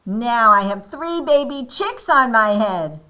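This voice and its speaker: A woman voicing an excitable, exasperated male elephant from a picture book, loud and indignant in disbelief. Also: on the high side, slow pace, pitched above the performer's own voice, heavily acted. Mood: exasperated, angry.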